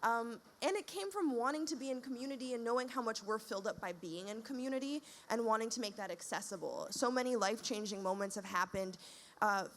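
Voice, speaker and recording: A woman's voice: fairly high pitch, a little fast, a little hiss or hum. A woman on a panel, explaining her podcast project in a reflective way and at length. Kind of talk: panel discussion.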